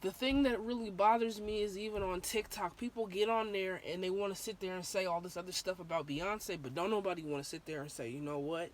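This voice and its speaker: A young woman vlogs her thoughts in a loose, candid way, often pausing on fillers and restarting her sentences. Her voice is a touch hoarse from a sore throat. A faint background hiss sits under the recording. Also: medium pitch. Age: young adult. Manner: candid and rambling, with many ums and restarts. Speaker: vlogger. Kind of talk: casual opinion vlog.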